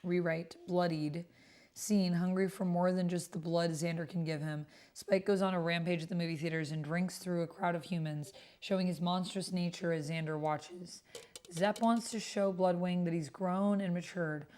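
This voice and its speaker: A woman's voice: fairly low pitch, fairly even intonation, normal speed, darkish tone. A woman hosting a livestream, reading text aloud and breaking into casual, chatty asides. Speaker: livestream host and tabletop roleplay player. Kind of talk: casual livestream commentary mixed with reading chat messages aloud.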